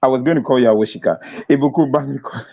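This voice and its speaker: A man's voice: medium pitch, very fast, gravelly, warm tone, dry recording. A man with a Nigerian accent hosting a casual interview, playfully repeating words for emphasis, on muffled audio. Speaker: interviewer, host. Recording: dull, lo-fi audio.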